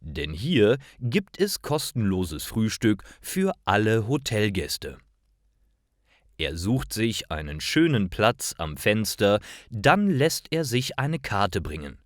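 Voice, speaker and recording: A man reading scripted short stories for language learners in a deliberate, carefully articulated and expressive way. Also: lowish voice, no echo, clean studio sound. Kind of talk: scripted narration, slow storytelling for language learners.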